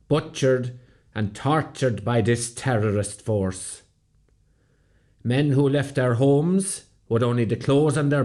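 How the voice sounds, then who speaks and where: A man plays a resolute rebel commander narrating a radio play. He speaks slowly and gravely. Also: medium pitch, darkish tone. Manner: measured, grave. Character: resolute, solemn Irish rebel commander recounting battle. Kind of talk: solemn scripted first-person narration.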